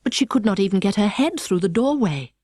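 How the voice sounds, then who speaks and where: A middle-aged British woman narrating a children's storybook with precise, expressive delivery. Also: mid-range voice, a little fast, no room sound, clean studio sound. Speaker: audiobook narrator. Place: dry studio.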